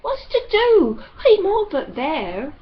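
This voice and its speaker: Woman voicing a playful country girl, lively swooping voice. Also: extremely high pitch, takes it slowly, highs cut off, voice pushed up, full character voice. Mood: carefree, defiant. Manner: rural dialect, artless and chatty.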